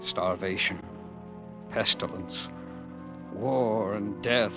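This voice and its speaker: Doomed, exhausted dreamer, vintage radio play. Lowish pitch, grave declamation, music underneath. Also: male voice, drawn-out words, lo-fi sound. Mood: despairing. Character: haunted, weary, despairing man tormented by apocalyptic dreams. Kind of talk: 1940s radio acting, dramatic first-person monologue. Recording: old 1940s radio drama recording.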